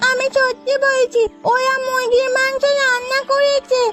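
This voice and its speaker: A very high-pitched little child from a cartoon, protesting insistently. The tone stays fairly flat and indignant, with music playing underneath. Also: a little slow, exaggerated voice. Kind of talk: Bengali cartoon voice acting.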